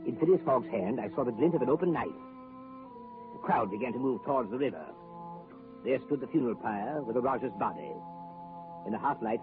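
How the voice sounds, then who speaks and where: An actor playing a solemn Indian guide who narrates an ominous adventure in deliberate, formal 1930s radio style. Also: male voice, medium pitch, lightly acted. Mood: tense, ominous. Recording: tinny old radio broadcast, music behind. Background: dramatic music.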